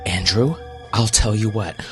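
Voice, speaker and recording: A man's voice: on the low side, unhurried, a bit bright, faint music behind. Man acting a hesitant, anxious male doctor. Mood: nervous, coaxing. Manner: hesitant, placating, stammering. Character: anxious adult male doctor coaxing a child. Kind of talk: horror audio storybook character.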